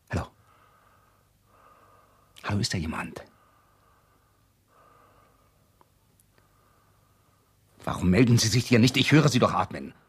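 Ein Schauspieler spielt in einem Krimi-Hörspiel einen verängstigten, ausweichenden Mann, der stockend und abwehrend spricht. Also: male voice, lowish voice, slightly rough. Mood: irritated, anxious. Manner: edgy, halting, defensive. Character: frightened, evasive man receiving menacing phone calls. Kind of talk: German radio crime play acting.

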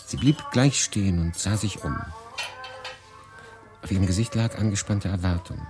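An actor playing a weary, brooding young refugee, narrating a radio drama with intensity, shifting between hushed and emphatic. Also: male voice, deep voice, normal speed, music in the background, natural voice. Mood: haunted, longing.

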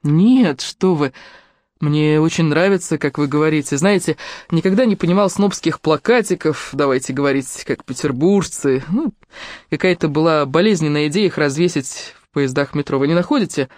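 A narrator voicing a polite adult man who works in medicine and speaks in a measured, courteous way. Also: low voice, a little mellow, no echo, studio quality, natural voice. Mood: calm.

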